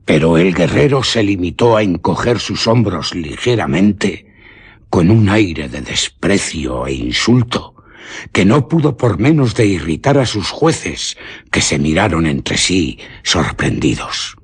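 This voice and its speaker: A man narrating a suspense radio story in a solemn, deliberate manner. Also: deep voice, very animated, slightly rough.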